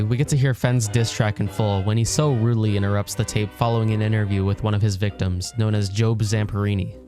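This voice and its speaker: A man with a fairly low voice narrates a scripted video essay at a quick clip. His delivery is informal and wry, full of casual asides. Guitar music plays clearly behind him. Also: darkish tone. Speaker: video essayist, channel host.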